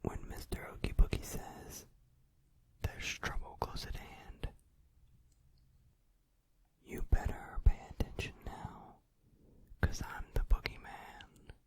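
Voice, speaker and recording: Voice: takes it slowly, pauses often, lightly acted. Someone whispering as a mocking boogeyman villain. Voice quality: whispered, unvoiced. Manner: mocking, singsong. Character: gloating male boogeyman villain, gambling and cruel. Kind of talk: whispered song cover, ASMR.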